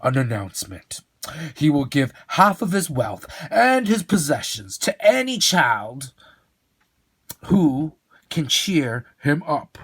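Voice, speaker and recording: A man voicing an excitable old bald storyteller for a children's storybook, coaxing and theatrical, with drawn-out exclamations. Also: a little high, slightly rough, heavily acted. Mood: animated storytelling.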